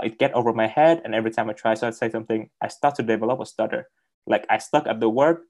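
A young man who speaks English as a second language, telling his story as a guest on a video call, halting and repeating words with many fillers. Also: on the low side, darkish tone. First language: not English. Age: young adult. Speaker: interview guest. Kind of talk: casual interview on a video call.

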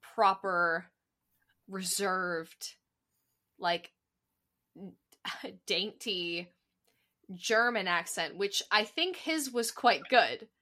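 A woman's voice: mid-range voice, extremely slow, long pauses, pushed and bright. A woman co-hosting a podcast in casual chat, playful and giggly. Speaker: podcast co-host.

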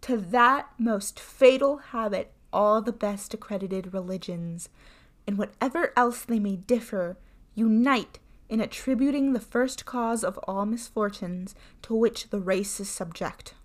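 A woman voicing Bluebeard, a cruel nobleman who bawls his threats loudly, in a storybook reading. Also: medium pitch, a little slow, lightly acted. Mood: cold threatening. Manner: loud and bawling.

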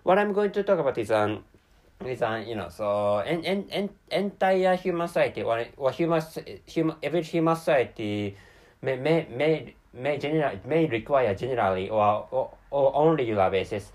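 A man from Japan with a Japanese accent, a native Japanese speaker, giving an unscripted podcast monologue in a very halting, rambling way with stammered repeats of words and syllables. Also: medium pitch, expressive, darkish tone. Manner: rambling and digressive. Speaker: podcast host.

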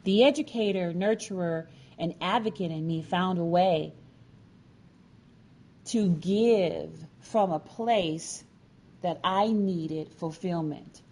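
A woman giving a prepared, inspirational personal story in earnest, deliberate phrases with pauses. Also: fairly low pitch, darkish tone. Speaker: featured storyteller, sign language educator and advocate.

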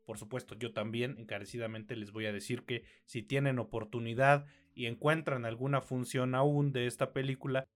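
Mexican man, mid-pitched, measured and articulate. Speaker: podcast co-host. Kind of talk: conversational film review podcast.

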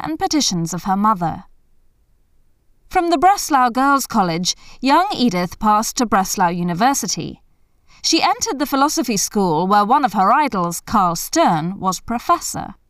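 A woman reading a scripted biographical narration in a measured, formal manner. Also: fairly high pitch, smooth, dry recording, clean studio sound.

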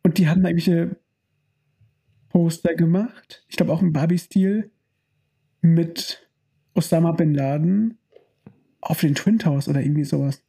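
A man's voice: a little high, moderate pace, creaky, pauses often, mellow, breathy edge. A young adult man co-hosting a podcast, chatting casually about films, rambling, joking and often trailing off mid-sentence. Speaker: podcast co-host. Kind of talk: casual film-discussion chat.

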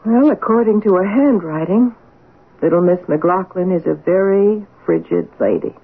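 A woman playing an earnest, perceptive wife who narrates and sleuths in a 1970s radio mystery, with clear phrasing. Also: on the low side, very animated, normal speed, heavily acted. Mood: composed. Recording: noisy, narrow-band old radio recording.

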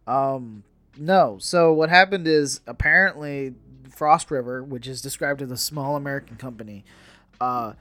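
Halting man in casual podcast chat. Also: mid-range voice, fairly bright tone, dry recording. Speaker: podcast co-host. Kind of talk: casual chat about entertainment news.

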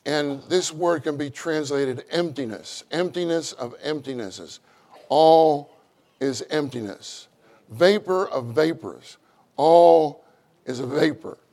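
A middle-aged man with a loud voice, preaching a sermon with animated, acted-out quotes. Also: takes it slowly. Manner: animated, acts out quotes with rising pitch.

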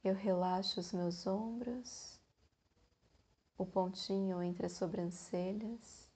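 Very slow, soft-edged woman guiding meditation. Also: mid-range voice, steady tone, husky. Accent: Brazilian Portuguese. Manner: slow, soothing delivery with long pauses. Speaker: meditation guide. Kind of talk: guided meditation.

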